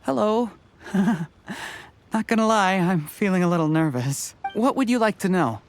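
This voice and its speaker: A man's voice: sits high, expressive, moderate pace, faint music behind. A man playing an earnest, polite and articulate young candidate in a video game. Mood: friendly nerves.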